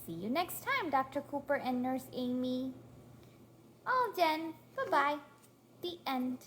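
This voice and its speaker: A woman reading a storybook aloud to a toddler in an encouraging, sing-song way, pausing to prompt and praise. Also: sits high, very animated, a bit bright, slight background noise. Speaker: parent reading aloud to a young child.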